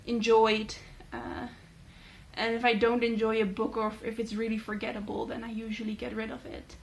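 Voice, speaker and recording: A young Dutch woman, a native Dutch speaker, chatting casually in a vlog with many fillers and thoughtful pauses. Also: on the high side. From Netherlands. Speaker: book channel host. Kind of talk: casual talking-head vlog.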